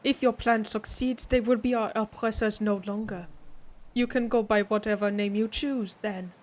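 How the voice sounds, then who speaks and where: A woman playing a proud, menacing vampire warrior in an audio roleplay, speaking deliberately with an imperious, taunting manner. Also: mid-range voice, steady tone, darkish tone, natural voice. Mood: wry.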